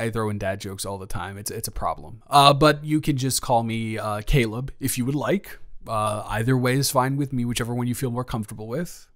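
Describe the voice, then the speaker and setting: A man plays a joking, flirty office boss in an improvised roleplay audio. He teases easily and trips over his own words, restarting often. His voice sits at an ordinary male pitch. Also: dry recording, clean studio sound, close to an everyday voice. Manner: rambling, stammering, self-interrupting; playful, teasing.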